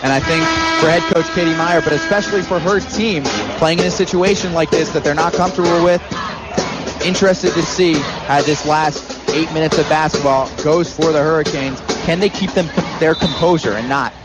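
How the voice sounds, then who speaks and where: A man's voice: a little high, normal speed, over loud music, a loud crowd, rough recording. A man with an American accent giving emphatic, analytical color commentary on a live radio basketball broadcast from an arena.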